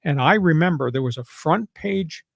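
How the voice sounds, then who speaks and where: A man's voice: a little high, expressive, normal speed, vocal fry, no echo, studio quality. An older American man from New York talking sports as a host, with emphatic, folksy, opinionated phrasing. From New York, United States. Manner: emphatic, folksy colloquial turns. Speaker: sports talk show host and analyst.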